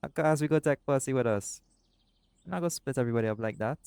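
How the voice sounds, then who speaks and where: A man voicing a doting, laid-back seagull shifter boyfriend in an intimate audio roleplay, speaking softly and affectionately. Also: medium pitch, fast talker, gravelly, a little hiss or hum, lightly acted. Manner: soft-spoken, affectionate, creole-inflected speech.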